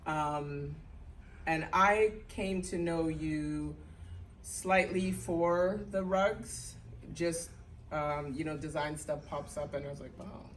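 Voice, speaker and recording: A woman's voice: low-pitched, takes it slowly. Woman with a big projecting voice, echoey room. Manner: loud, projecting voice, chatty and loose. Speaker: conversation moderator. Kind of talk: casual onstage conversation. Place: small venue with an audience. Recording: echoey room, distant mic, clear background noise.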